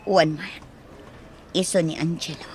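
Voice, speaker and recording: A woman's voice: low voice, steady tone, a little slow, a little hiss or hum. A woman playing a firm but forgiving married mother in a radio drama, with an Ilocano accent. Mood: calm, motherly.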